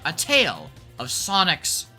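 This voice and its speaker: Animated, bright-voiced woman video essayist. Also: deep voice, measured pace, faint music behind. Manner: theatrical, sarcastic, mock-grandiose. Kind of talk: scripted comedic video essay narration.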